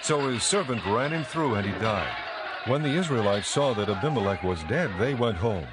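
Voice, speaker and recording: A man narrating a dramatized audio Bible in a measured, clearly enunciated storytelling style. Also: mid-range voice, lively intonation, heavy background noise.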